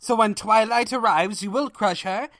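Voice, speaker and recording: A man's voice: sits high, moderate pace, no echo, studio quality, pitched above the performer's own voice. A man voicing a loyal, long-suffering pony chancellor, deferential and servile to an evil king, in a story. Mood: eager. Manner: deferential, servile, deadpan asides.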